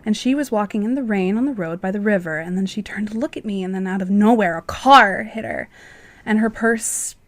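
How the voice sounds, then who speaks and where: A woman playing a caring, sleepy wife in a radio mystery drama, with a gentle, soothing manner. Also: medium pitch, fairly quick, no echo, lightly acted. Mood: concerned, soothing.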